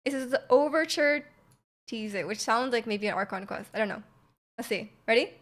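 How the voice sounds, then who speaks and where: A young adult woman live-streaming casual reactions, chatty and halting as she repeats phrases. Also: on the high side.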